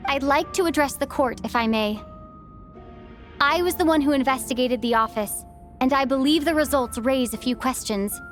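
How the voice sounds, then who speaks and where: A woman's voice: sits high, moderate pace, lightly acted. A woman voicing an earnest, thoughtful young traveler in a fantasy video game, with a careful, reflective delivery. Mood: earnest, reasoned. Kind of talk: dramatic video game voice acting. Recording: music behind the voice.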